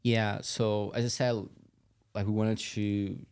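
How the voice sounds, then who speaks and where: A man being interviewed as a company chief on a podcast, explaining things in a halting, conversational way. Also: lowish voice, flat delivery, darkish tone, clear, resonant tone, no room sound. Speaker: company CEO interview guest.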